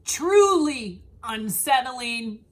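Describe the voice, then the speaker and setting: Very slow, animated woman reading a storybook. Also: fairly high pitch. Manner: dramatic, deliberate phrasing with emphasised words. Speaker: read-aloud storyteller. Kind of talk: expressive children's picture book read-aloud.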